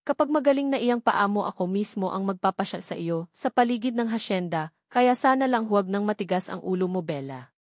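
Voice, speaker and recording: A woman's voice: mid-range voice, lively intonation, fairly quick, no room sound, lightly acted. A serious young husband in a romance audiobook, voiced by a woman narrator. He is bossy and reproachful with his stubborn wife, and the sound is close and dry. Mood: stern, serious.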